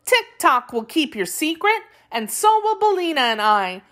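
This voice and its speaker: A woman's voice: high voice, sing-song, normal speed, fairly bright tone, voice pushed up. A woman voicing a kind, curious young girl in a children's storybook, speaking sincerely and earnestly. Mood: sympathetic.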